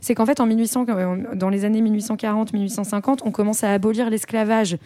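Woman, mellow voice, dry close sound, hesitant casual explaining. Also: medium pitch, steady tone, fast talker, dry recording. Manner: explains with frequent hesitations and self-corrections.

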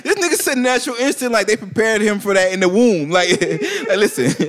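A young man co-hosting a casual chat podcast, joking loudly and laughing often. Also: sits high, very animated, moderate pace. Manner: loud laughing, joking, profane.

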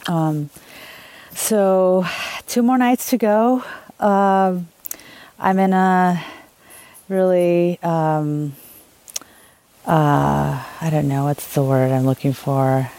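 Low-voiced woman hiker, halting talk. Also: steady tone, warm tone, no echo. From Los Angeles, USA. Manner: halting, many fillers and restarts. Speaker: thru-hiker vlogger. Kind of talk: reflective video diary. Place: outdoors on a long-distance trail.

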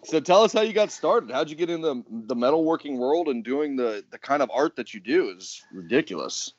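A man's voice: mid-range voice, lively intonation, brisk. A man hosting a podcast in loose, swearing banter with his guests. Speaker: podcast host. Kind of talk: casual podcast chat. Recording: dull lo-fi podcast audio.

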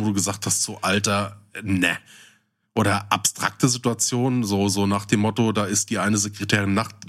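A man from Germany talks as a podcast host in a casual, conversational way. His voice sits at a medium pitch, and he often feels for his words as he chats and jokes.

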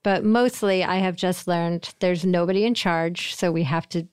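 A woman guesting on a podcast, chatting casually and playfully with expressive phrasing and free swearing. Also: lowish voice, moderate pace, smooth, no room sound, clean studio sound. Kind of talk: casual comedic chat.

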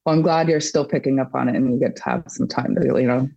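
A woman's voice: a bass voice, fast talker, gravelly, warm tone, no echo. Woman guest, talks loosely with lots of ums, muffled lo-fi sound, dry. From Japan. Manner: chatty, lots of fillers and restarts. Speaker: guest artist. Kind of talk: casual conversation.

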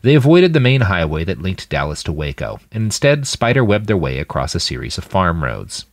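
A man's voice: deep voice, big pitch swings, fairly quick, no room sound, studio quality. A man reading a story aloud as scripted audiobook narration. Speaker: author reading his fiction podcast.

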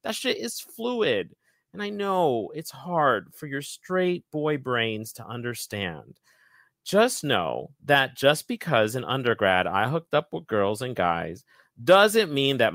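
Young guy doing a sassy podcast rant, swoops up and down a lot, bright voice. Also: medium pitch, dry recording, clean studio sound. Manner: emphatic, sassy, animated. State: recovering from lost voice. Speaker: podcast co-host running a fact-check segment. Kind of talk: scripted segment read, lively.

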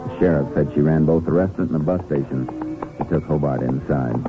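Deep-voiced Texas Ranger, vintage radio. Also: male voice, fairly quick, music in the background. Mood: narrating evenly. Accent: American. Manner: terse, matter-of-fact, probing. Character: calm, methodical Texas Ranger investigator and narrator. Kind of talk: 1950s radio western crime drama acting. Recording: muffled, narrow-band 1950s radio recording.

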